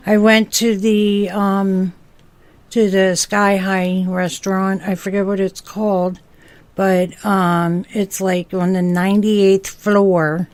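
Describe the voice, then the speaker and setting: A woman's voice: medium pitch, flat delivery, takes it slowly, darkish tone, dry recording. A middle-aged or older woman co-hosting a podcast, chatting casually in an agreeable, easygoing way. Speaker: podcast co-host. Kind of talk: casual chat.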